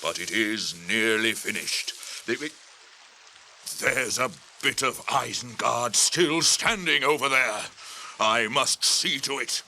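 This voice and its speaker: An actor in a radio drama playing an ancient, grave tree creature who speaks ponderously with drawn-out hums. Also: male voice, medium pitch, animated, sharp tone, slight background noise, full character voice. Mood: weary. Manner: ponderous, deliberate, halting. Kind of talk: 1980s radio drama acting.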